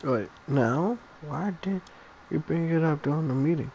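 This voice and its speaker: A man's voice: on the high side, measured pace, creaky, a little mellow, slight background noise. A man livestreaming a game, reading dialogue aloud and making casual comments.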